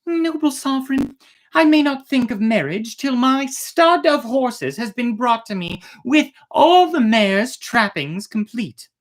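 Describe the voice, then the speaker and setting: A storyteller voicing a young fairytale princess, demure and poised but quietly demanding. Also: medium pitch, lively intonation, measured pace, voice pushed up, lightly acted. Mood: formal, firm.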